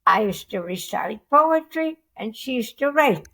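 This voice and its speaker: An elderly woman in her nineties speaks slowly. She pauses often and fills the gaps with "you know" as she recalls her past for an interviewer. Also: a little high, lively intonation, vocal fry, sharp tone. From Poland. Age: 90s. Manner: slow, halting, with fillers like "you know". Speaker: interview guest. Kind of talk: casual reminiscing interview.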